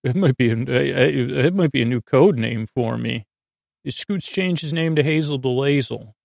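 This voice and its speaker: A man with a creaky, gravelly voice hosts a bedtime podcast. He meanders through improvised digressions, stopping and restarting mid-word. The sound is close and very dry, and his pitch sits in a middle male range. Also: moderate pace, darkish tone, no echo. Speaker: podcast host. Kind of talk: rambling improvised bedtime monologue.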